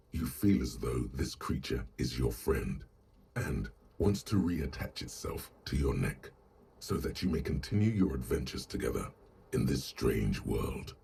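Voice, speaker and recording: An actor voicing a grave, brooding inner voice that narrates a detective game in a deliberate, ominous, literary way. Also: male voice, rumbling low, sing-song, creaky, darkish tone, breathy edge, faint music behind, exaggerated voice. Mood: measured, observant.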